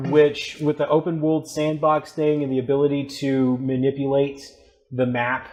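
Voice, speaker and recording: A man co-hosting a casual gaming podcast from a living room couch, halting and thinking aloud. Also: mid-range voice, flat delivery, darkish tone, rough recording. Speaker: podcast co-host.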